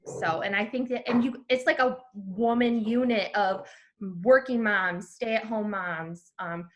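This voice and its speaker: A woman on a video call speaks in a heartfelt, gushing way, full of fillers. Her pitch sits in the middle of the range. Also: unhurried. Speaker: guest reader. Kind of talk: casual video call chat.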